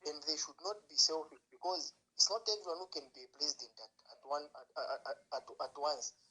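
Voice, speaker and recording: Husky, bright Zambian man caller. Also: fairly high pitch, a little fast, poor audio. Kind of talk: spontaneous political advice.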